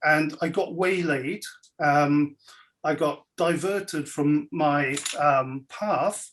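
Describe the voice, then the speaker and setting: Man, raised in Belgium, French native speaker, fairly high voice, wry measured talk, video call from home. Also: a bit bright, a little hiss or hum, rough recording. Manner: wry, measured, clears his throat. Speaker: guest speaker, scholar and writer. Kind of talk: relaxed lecture-style talk. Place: home, indoors.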